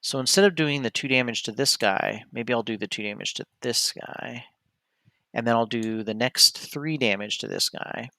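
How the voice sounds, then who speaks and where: A man's voice: mid-range voice, moderate pace, vocal fry, pushed and bright, no echo. A man streaming solo board game play, talking casually through each move and often correcting himself as he decides. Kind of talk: casual play-by-play commentary, thinking aloud.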